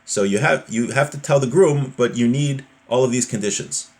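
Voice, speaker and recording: A man's voice: medium pitch, moderate pace, a little mellow. Man teaching religion, colloquial and explanatory. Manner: colloquial, explanatory, with rhetorical questions. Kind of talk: reading Hebrew text and explaining it informally.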